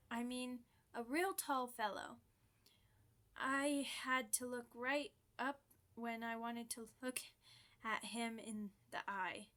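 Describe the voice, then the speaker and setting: Woman acting as centuries-old vampire, higher-pitched, unhurried, playful, mock-grand, chatty, ASMR roleplay. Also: fairly bright tone.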